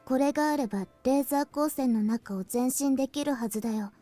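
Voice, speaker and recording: A woman's voice: high voice, rapid-fire, faint music behind, close to an everyday voice. Woman voicing a clever teen girl inventor. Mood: explaining. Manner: calm and explanatory. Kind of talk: Japanese game voice acting.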